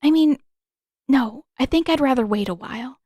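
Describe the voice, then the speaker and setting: A woman voicing a brisk, stubborn, flustered teenage girl with urgent emphasis in a fan fiction audiobook. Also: high voice, warm tone, no echo, studio quality, pitched above the performer's own voice. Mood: flustered, tentative.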